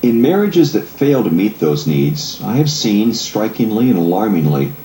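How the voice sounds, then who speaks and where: Deep-voiced man narrating in a roomy space. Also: sing-song, moderate pace, warm tone. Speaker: marriage counselor and author narrating. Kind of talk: audiobook self-help narration, read from a script. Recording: roomy echo, faint background noise.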